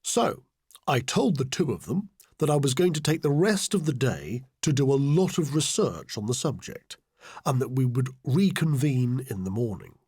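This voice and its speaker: A man reading a satirical diary audiobook as a scheming, self-important male political adviser, deadpan, deliberate and sardonic. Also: mid-range voice, husky, dry recording, studio quality. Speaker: audiobook reader. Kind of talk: satirical first-person diary audiobook narration.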